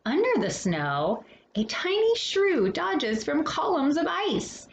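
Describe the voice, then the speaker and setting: Animated, unhurried woman reading a storybook aloud. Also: high-pitched. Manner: animated, dramatic pauses between phrases. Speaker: storytime host reading a children's picture book. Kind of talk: expressive read-aloud with casual asides. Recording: roomy echo with faint background noise.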